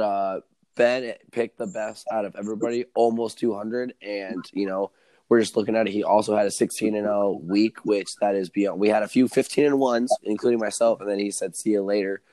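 A man co-hosting a casual sports podcast, a little hoarse, reeling off standings with excitement. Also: fairly low pitch, dry recording, poor audio. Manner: excited, rattles off stats. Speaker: podcast co-host.

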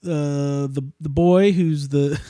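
A man's voice: a little high, steady tone, slow, warm tone, no room sound, studio quality. A man hosting a casual podcast chat about a film, rambling and jokey, swearing freely. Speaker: podcast host.

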